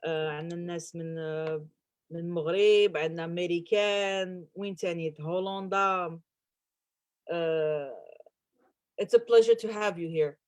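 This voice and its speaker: Fast-talking woman host, low voice. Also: darkish tone. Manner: rambling, quick switches between languages. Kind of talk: casual online chat.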